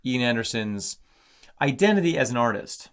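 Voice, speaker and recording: A man co-hosting a casual podcast, talking excitedly about song lyrics with many restarts; English is not his first language. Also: mid-range voice, moderate pace. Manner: animated, exclamatory, lots of restarts. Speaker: podcast co-host.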